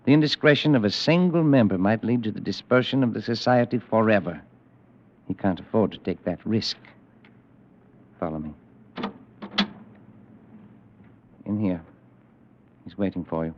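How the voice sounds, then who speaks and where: An actor playing a smooth, sinister recruiter for a secret deadly club, in an old-time radio drama. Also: male voice, fairly low pitch, moderate pace, pauses often, background noise, close to an everyday voice. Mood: ominous. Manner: suave, persuasive, ominous. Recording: dull old radio recording.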